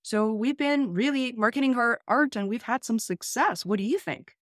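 A woman's voice: fairly high pitch, normal speed, no room sound. Woman hosting, dry close sound. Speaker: YouTube host. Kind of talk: conversational tech demo. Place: small home room, indoors.

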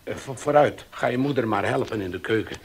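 An actor playing a calm, protective middle-aged father soothing his daughter in a 1970s radio play. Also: mid-range voice, brisk, background noise, natural voice. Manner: soothing, gruff.

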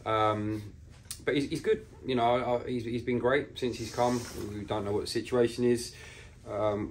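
A man in his forties with a deep voice speaks as a football manager after a match. His delivery is measured and flat, pausing mid-thought. He is recorded on a camera mic indoors with slight background noise. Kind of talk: post-match interview. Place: small bare indoor room.

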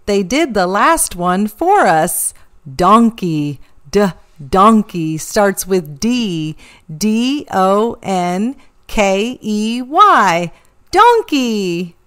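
Animated woman teaching young children, very expressive pitch. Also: clear, resonant tone. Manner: animated, slow, careful enunciation, sounding out and spelling words. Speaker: children's read-aloud host. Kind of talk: storybook reading and interactive teaching for young children.

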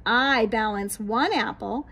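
A middle-aged American woman reading a picture book aloud to young children in an expressive, sing-song way, outdoors on a patio. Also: a little high, takes it slowly, gravelly, husky. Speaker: children's read-aloud host, teacher. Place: small backyard patio, outdoors. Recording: light outdoor background noise.

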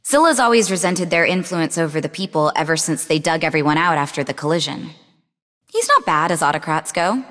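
Snarky young game spy girl, animated, defiant, lots of reverb. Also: on the low side. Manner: sarcastic, defiant. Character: sharp, sardonic young female tech worker turned spy. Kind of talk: conversational video game character acting. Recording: long reverb on the voice.

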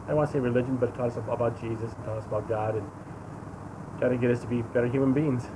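Male, 60s, American. Earnest, emphatic testimony in conversational style, shot outdoors over clear traffic noise. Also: mid-range voice, fairly even intonation, rapid-fire. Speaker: interview guest giving testimony. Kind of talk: casual personal testimony. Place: outdoors against a sunlit wall. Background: vehicle and street noise.

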